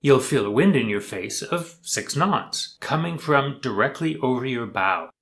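An older man, a sailing instructor, explaining sailing concepts in calm, measured, scripted narration. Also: mid-range voice.

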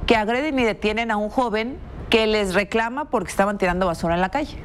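A woman's voice: mid-range voice, fast talker, noisy background. A middle-aged woman with a Mexican accent, commenting on the news as an indignant, emphatic TV anchor. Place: TV studio at a news desk. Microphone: lapel mic.

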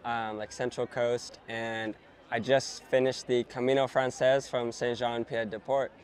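A man's voice: mid-range voice, flat delivery, measured pace. A man of twenty-two from California, a pilgrim introducing himself casually on a city square outdoors. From United States, California. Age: 20s. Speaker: interviewee, pilgrim.

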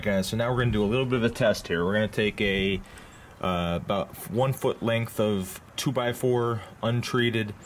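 Man, casual tool review, lowish voice, plain talk, ums and uhs, faint background noise. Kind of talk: casual product review voice-over.

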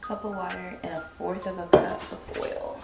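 A young woman talking casually to her camera at home, soft and reflective, a little emotional. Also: fairly low pitch, level tone, moderate pace, vocal fry, far from the mic. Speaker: vlogger. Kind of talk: casual vlog talk. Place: small home kitchen and living room. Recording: roomy, from the mic, music behind.

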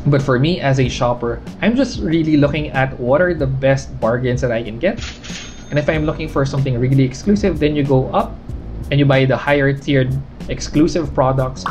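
A man's voice: medium pitch, moderate pace. Filipino man reviewing stocks over music. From Philippines. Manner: candid, conversational, gestures while explaining. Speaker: finance vlogger. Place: living room at home.

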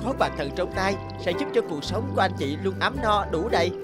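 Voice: mid-range voice, animated, a little fast, lightly acted. An actor plays a friendly young snake prince in a cartoon. He speaks politely and pleadingly, like an eager child, with music underneath. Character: friendly young male snake, a sea king's son. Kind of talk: cartoon dialogue.

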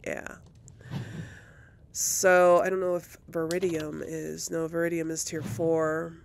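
A woman's voice: low voice, flat delivery, slow pace, creaky, slight background noise. A woman hosting a let's play, chatting casually and thinking aloud as she comments on her game.

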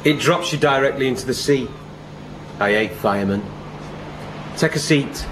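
An actor in a comic radio play, playing an eccentric, evasive recluse of a rock star who poses as a lighthouse keeper, with a dry, deadpan manner. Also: male voice, mid-range voice, moderate pace, noisy background, close to an everyday voice. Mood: dry, matter-of-fact.

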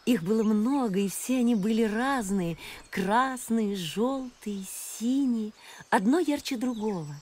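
A woman reading a fairy tale as an expressive storybook narrator. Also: mid-range voice. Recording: music behind the voice.

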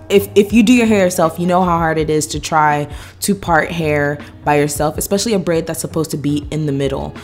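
A woman's voice: sits low, a little mellow. A young woman in her twenties with a stuffy voice while recovering from a sore throat, chatting to viewers in a hair tutorial vlog from her apartment. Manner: chatty, laughing, addresses viewers directly. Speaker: beauty vlogger. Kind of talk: casual hair tutorial chat. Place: apartment living room.

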